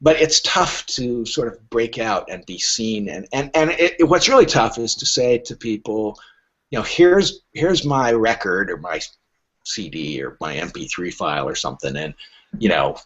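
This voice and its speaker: A man's voice: on the low side, a bit bright, slightly rough. A middle-aged or older man answering interview questions as a literary agent, speaking frankly and emphatically and acting out imagined dialogue. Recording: dull lo-fi podcast audio.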